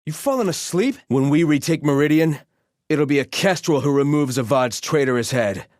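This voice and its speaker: A man's voice: fairly high pitch, animated, normal speed, a little mellow, no room sound, studio quality, natural voice. A man playing a haughty, devout exiled soldier in a video game, speaking in a stern, disdainful and formal way. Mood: alert, forceful. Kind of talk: video game character voice lines.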